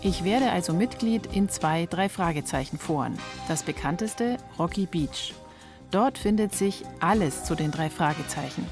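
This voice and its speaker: A woman's voice: lowish voice, expressive, moderate pace, over music. A woman reporter reading scripted narration for a radio feature.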